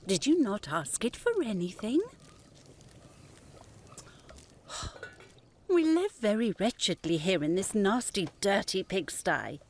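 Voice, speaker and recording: A woman's voice: mid-range voice, lively intonation, measured pace, darkish tone, a little hiss or hum. An actress playing a discontented, grasping fisherman's wife in a fairy tale audio drama, with an imperious manner. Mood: complaining. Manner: imperious, complaining.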